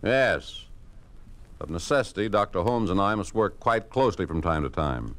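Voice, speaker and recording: An actor playing a weary, domineering communist official in a 1950s radio drama, speaking deliberately and with condescension. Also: male voice, sits low, lively intonation, fairly bright tone. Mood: condescending, weary. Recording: vintage 1950s radio broadcast.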